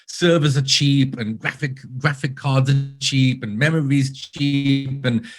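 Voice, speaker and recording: A man's voice: medium pitch, fairly even intonation, unhurried. A man from Israel with a British-sounding accent, a guest in a remote interview, speaking earnestly and building his points in careful lists. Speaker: podcast guest, user researcher. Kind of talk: casual interview talk.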